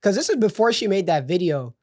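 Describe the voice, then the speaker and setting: A man's voice: high-pitched, very animated, quick pace, a little mellow, dry recording, clean studio sound. Toronto man telling a casual story. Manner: storytelling, laughs through anecdotes. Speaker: podcast co-host. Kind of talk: casual chat.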